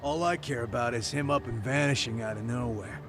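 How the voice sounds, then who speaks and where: A man's voice: medium pitch, brisk, lightly acted. An actor voicing an aggressive male street thug in a video game, threatening and swaggering. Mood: gruff, questioning. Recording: game audio with music behind.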